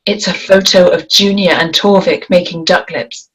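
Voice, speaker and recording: A woman reading stage directions aloud at a script table read, in a deliberate, measured way. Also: on the low side, fairly even intonation, fairly bright tone, breathy edge, rough recording.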